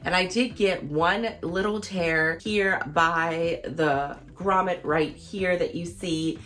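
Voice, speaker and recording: A woman in her thirties, a fashion vlogger, chatting in a casual, enthusiastic way, her voice a little hoarse as she gets over losing it. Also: lowish voice, steady tone, slow, fairly bright tone. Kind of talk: casual product review vlog.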